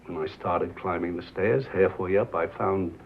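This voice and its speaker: An actor playing a mild, earnest small-town station owner, halting and formal, as if confessing, in a 1950s film drama. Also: male voice, low voice, steady tone. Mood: appalled, bitter. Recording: muffled, hissy 1950s film soundtrack.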